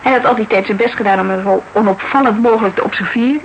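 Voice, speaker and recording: An actress playing a lively, shrewd young detective's associate in a Dutch radio crime drama, talking colloquially and emphatically, as if thinking aloud. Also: female voice, mid-range voice, fast, creaky, lo-fi sound, a little hiss or hum, natural voice. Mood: animated recounting.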